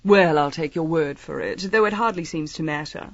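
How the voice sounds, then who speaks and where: A woman's voice: sits low, moderate pace, no room sound, dull audio. An actress playing a shrewd, playful lady detective in a radio mystery, politely probing with mock innocence. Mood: coolly amused. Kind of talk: radio mystery drama acting.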